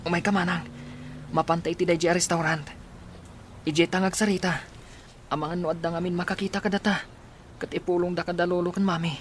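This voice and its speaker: A secretive young man pressing an urgent message, played by a man. His voice runs high for a man. Faint ambience sits behind him. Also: level tone, fast, pauses often, slight background noise, lightly acted.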